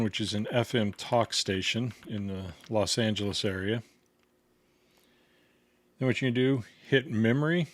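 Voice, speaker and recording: A man's voice: on the low side, dry recording. A man giving a casual hands-on product tutorial in a plain, step-by-step instructional way. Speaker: product reviewer demonstrating a gadget.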